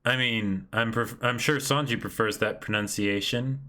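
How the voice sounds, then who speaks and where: A man's voice: medium pitch, fairly even intonation, moderate pace, sharp tone. A man co-hosting a livestreamed reading in casual, chatty conversation. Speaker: co-host of a livestreamed manga reading. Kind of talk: casual chat.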